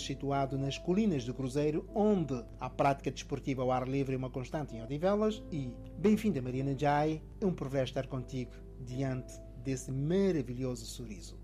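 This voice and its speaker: A man hosting an interview programme, speaking in scripted, measured narration with flowery phrasing. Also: medium pitch, mellow. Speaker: radio-style programme host.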